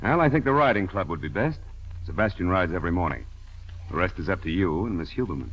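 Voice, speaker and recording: A businesslike intelligence boss who lays things out with firm authority. His voice sits low. The recording is a muffled old radio drama. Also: lively intonation, fast, very noisy. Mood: firm, impatient. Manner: matter-of-fact, authoritative. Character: brisk, businesslike male intelligence chief, no-nonsense. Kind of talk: 1940s radio drama acting.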